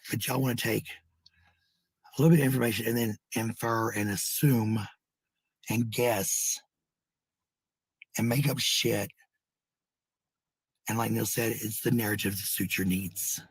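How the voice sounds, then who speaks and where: An American man with a husky, gravelly voice rambling casually to livestream viewers, blunt, sweary and given to repeating phrases. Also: on the low side, fairly even intonation, measured pace, long pauses, dry recording. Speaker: live streamer.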